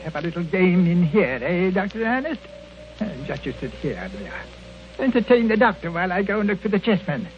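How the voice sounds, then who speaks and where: A man's voice: sits high, very animated, fairly quick, faint music behind. An actor playing a miserly, irascible old man with blustering, indignant delivery in 1940s radio style. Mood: falsely cozy. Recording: muffled, hissy 1940 radio broadcast.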